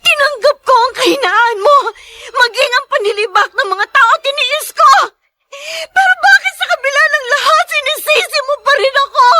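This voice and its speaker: A heartbroken young woman cries out her pleas in a very high, piercing voice. The radio-drama performance is tearful and desperate. The sound is dry, with no room around it. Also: moderate pace, sharp tone, dry recording. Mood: anguished. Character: anguished young woman pleading with her lover. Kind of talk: 1960s-style Filipino radio drama.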